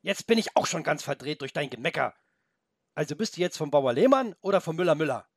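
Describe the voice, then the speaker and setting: A man's voice: on the high side, animated, brisk, dry recording, studio quality, pitched above the performer's own voice. A man voicing an earnest, insistent young boy in a children's story, emphatic and easily exasperated as he corrects others.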